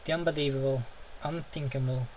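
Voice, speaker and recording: A man's voice: mid-range voice, steady tone, brisk, darkish tone, a little hiss or hum, low-quality recording. A man narrating a horror story in the first person, intense and theatrical, now and then stumbling over a word. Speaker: audiobook reader.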